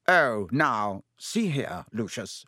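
A man's voice: medium pitch, sing-song, slow, sharp tone, no room sound, studio quality, full character voice. A man voicing an anxious, blustering government official in an audiobook, hesitant and protesting. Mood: alarmed.

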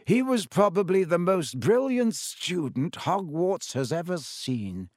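Man voicing Dumbledore, gentle old wizard. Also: high voice, very animated, dry recording, clean studio sound, voice pushed up, exaggerated voice. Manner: gentle, measured storytelling. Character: wise old male headmaster, gentle and calm.

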